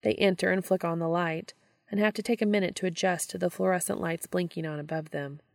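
A woman reading fan fiction aloud in expressive storytelling narration. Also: fairly low pitch, fairly quick, vocal fry, no echo, studio quality.

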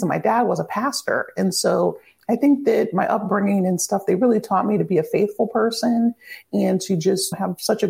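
Gravelly-voiced woman telling her story. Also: mid-range voice, darkish tone, rough recording. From Virginia, near Washington DC. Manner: candid, upbeat storytelling. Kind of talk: podcast interview.

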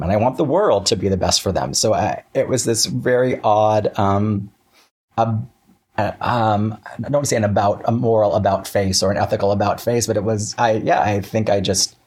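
Low, slightly husky man in casual interview. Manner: halting, self-interrupting, wry, animated retelling with quoted asides. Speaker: playwright and writer being interviewed.

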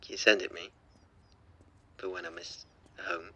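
Bright-voiced, awkward teenage boy. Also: low voice, steady tone, breathy edge, exaggerated voice. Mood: shy, tender. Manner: hesitant, stammering, halting. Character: awkward, tender teenage schoolboy, shy and unsure. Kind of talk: naturalistic audio drama dialogue.

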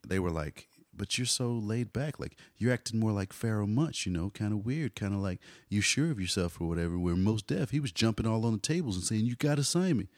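A man in his forties, a hip hop artist telling stories in a relaxed interview, dropping "you know" often. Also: sits low, creaky, dry recording, clean studio sound. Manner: laid-back storyteller, frequent "you know". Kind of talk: casual conversational interview.

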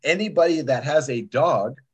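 A man's voice: on the high side, moderate pace, slightly rough. A man chatting as a podcast guest, opinionated and emphatic, repeating himself and asking rhetorical questions. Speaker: podcast guest, audio drama producer. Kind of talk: casual, opinionated chat. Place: remote call on a computer.

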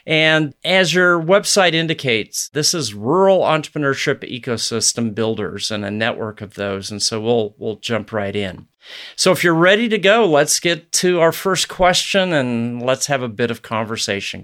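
A man's voice: mid-range voice, lively intonation, normal speed, fairly bright tone. A man hosting a podcast, reading a scripted introduction and then chatting with his guests in an upbeat, welcoming way. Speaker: podcast host.

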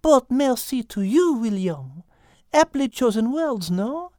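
A woman plays a dainty animal lady, gracious and excitable, with a high, lilting voice. She presents with theatrical flair in a storybook tale, taking her time over the words. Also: slow, no room sound, studio quality. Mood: gracious showmanship. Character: dainty, excitable French female animal hostess.

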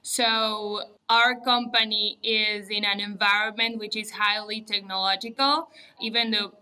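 A woman's voice: medium pitch, flat delivery, a little slow, bright, forceful tone, rough recording. A woman from Uruguay, a native Spanish speaker with a Uruguayan Spanish accent, talking casually as a podcast guest. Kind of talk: casual interview talk.

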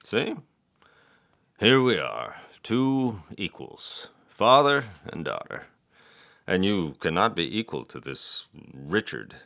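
An actor playing a doting older father in an audio drama, earnest and persuasive, with halting, stammering delivery. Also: low-pitched, sing-song, creaky, fairly bright tone, exaggerated voice. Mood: pleading.

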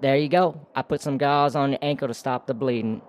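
A calm prison tattooist, played by a man, talks in a dry, matter-of-fact manner at a middling pitch. This is comedy audio drama with faint noise behind the voice. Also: brisk, close to an everyday voice.

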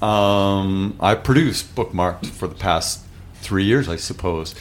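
Male radio producer, deep voice, halting casual talk, faint noise behind. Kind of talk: casual, halting self-introduction.

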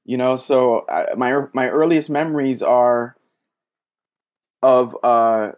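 A man who works as a social worker, a guest in a casual conversation, speaking thoughtfully and hesitantly with frequent pauses and fillers. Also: mid-range voice.

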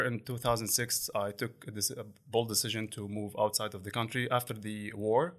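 A man talks through his career as a podcast guest. His bright, forward tone carries a mid-range pitch, and he pauses often on fillers and restarts. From Lebanon. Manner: halting, with frequent fillers and restarts. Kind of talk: conversational interview.

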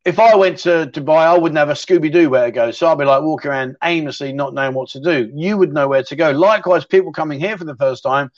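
A man's voice: a little high, fast talker, hardly any pauses, no echo, lo-fi sound. Chatty man hosting a livestream. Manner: chatty, rambling, matey. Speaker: livestream chat show host. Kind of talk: casual livestream chat reading viewer questions.

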